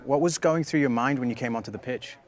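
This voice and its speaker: A man's voice: medium pitch, quick pace, natural voice. A man playing a probing post-match sports interviewer in a video game cutscene.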